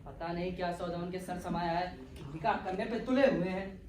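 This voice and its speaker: Man acting indignant young son, high-pitched, theatrical, distant noisy stage recording. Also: fairly even intonation, moderate pace, echoey room, natural voice. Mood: exasperated. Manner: haughty, complaining, theatrical. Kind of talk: Hindi comic stage play acting.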